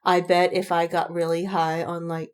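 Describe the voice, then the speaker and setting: A woman's voice: fairly low pitch, little pitch movement, normal speed, smooth. A woman in casual podcast chat about fandom, speaking emphatically with slang and swearing and repeating words for effect. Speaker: podcast co-host.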